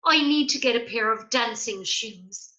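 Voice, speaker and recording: A woman's voice: a little high, lively intonation, measured pace, pushed and bright. A woman voicing a worried, plaintive male giant in a children's storybook, exclaiming as she reads. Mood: anxious.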